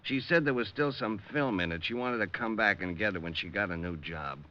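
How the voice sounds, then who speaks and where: An actor playing a fussy, emphatic shopkeeper in a vintage radio drama. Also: male voice, fairly low pitch, fairly quick, lightly acted. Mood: obliging. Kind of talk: 1940s radio drama acting. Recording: dull old radio, faint noise.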